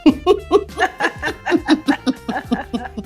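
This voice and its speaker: A woman's voice: high-pitched, expressive, very slow, soft background music. A woman hosting a podcast in a casual, playful chat, laughing often. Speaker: podcast host.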